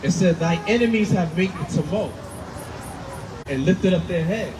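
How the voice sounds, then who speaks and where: A man preaching outdoors, forceful and emphatic, repeating his points. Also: high voice, animated, normal speed. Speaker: street preacher. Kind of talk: street preaching. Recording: distant mic with loud street noise.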